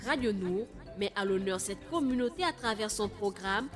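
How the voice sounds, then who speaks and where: A woman's voice: medium pitch, animated, moderate pace. A woman reading a scripted promotional announcement for a radio programme. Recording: loud music bed under voice. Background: loud music.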